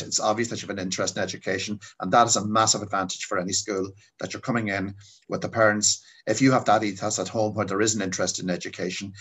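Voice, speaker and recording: Man chairing a panel, quick and slightly husky delivery. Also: on the low side, a bit bright. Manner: fast, hands the floor to speakers. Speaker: school principal chairing a panel. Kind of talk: moderating a webinar.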